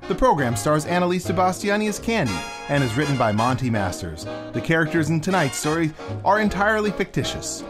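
A man's voice: a little high, very animated, a little fast. A male announcer reading credits in a formal style. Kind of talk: radio announcing. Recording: announcer over clear music.

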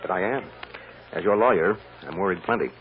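Deep-voiced actor as a radio-drama defense lawyer. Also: male voice, brisk, natural voice. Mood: earnest, urgent. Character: seasoned male defense lawyer, gentle with a child, resolute. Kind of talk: old-time radio drama acting. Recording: dull, noisy vintage radio recording.

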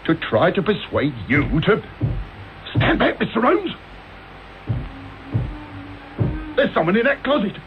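An actor playing an earnest, blustering English police inspector in a radio drama. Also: male voice, sits high, huge pitch swings, moderate pace, faint music behind. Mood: alarmed. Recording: dull noisy old radio broadcast.

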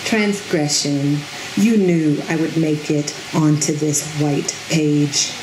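A middle-aged woman reads poetry aloud at a podium mic, unhurried and deliberate, her voice sitting a little low. The sound is roomy under an outdoor tent, with a steady hiss of background noise behind her. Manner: slow, measured, deliberate delivery. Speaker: poet reading her own work. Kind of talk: poetry reading. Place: outdoor tent stage at a reading. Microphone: podium microphone on a stand.

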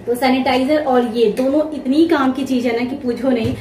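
A woman's voice: high voice, fairly even intonation, normal speed, a little mellow, slight background noise. Chatty young woman in echoey kitchen. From India. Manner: chatty and explanatory, addressing viewers directly. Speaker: vlogger. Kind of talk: casual home vlog, showing groceries and explaining. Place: home kitchen, indoors. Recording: echoey home room.

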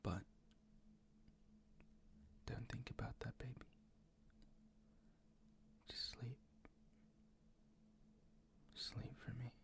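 A man performing improvised boyfriend ASMR in a breathy, gravelly whisper, tender and soothing, close to the microphone. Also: mid-range voice, fairly even intonation. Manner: tender, slow, soothing, gently coaxing.

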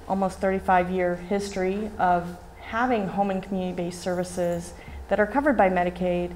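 A middle-aged woman giving a conference keynote at a podium microphone in a hotel hall, animated and often asking "right?". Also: mid-range voice, a little hiss or hum. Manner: animated, gestures widely, asks rhetorical "right?". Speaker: keynote speaker.

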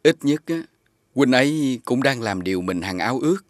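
An actor playing an earnest, devoted, respectful young man in an animated fairy tale. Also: medium pitch, moderate pace, no echo, clean studio sound, close to an everyday voice. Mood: thoughtful.

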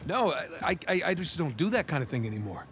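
An actor playing a skeptical, wry American adventurer in a video game, speaking conversationally in a naturalistic style. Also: male voice, a little high, big pitch swings, quick pace, a little hiss or hum. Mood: reluctant. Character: wry American adventurer, reluctant retired treasure hunter.

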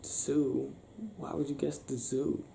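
A young man doing an improvised ASMR boyfriend roleplay, talking softly and affectionately in a breathy, teasing, near-whispered way. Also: medium pitch, little pitch movement, takes it slowly. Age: young adult. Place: small bedroom, lying on a bed indoors. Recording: roomy sound with faint background noise.

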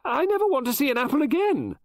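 A man's voice: sits high, sing-song, darkish tone, no room sound, clean studio sound, voice pushed up, exaggerated voice. A man voicing a flustered, faltering lady novelist. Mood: distraught. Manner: breathless, hurried, faltering. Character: shaken, flustered middle-aged female novelist.

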